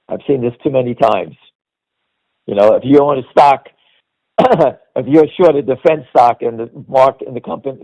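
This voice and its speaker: A man's voice: mid-range voice, dark tone. A man giving informal, off-the-cuff market commentary as host of a call, blunt and insistent, often checking in with the listener. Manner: blunt, insistent, punctuated by "all right?". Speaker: stock commentator hosting a conference call. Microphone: conference call line. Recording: dull, lo-fi radio-like call audio.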